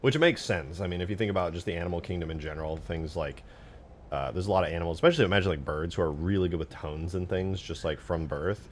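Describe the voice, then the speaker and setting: A man's voice: low-pitched, fast, a little hiss or hum. A man chatting casually and informally on a livestream, replying to viewers in a slangy, self-deprecating way. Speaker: streamer, game modder. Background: background music.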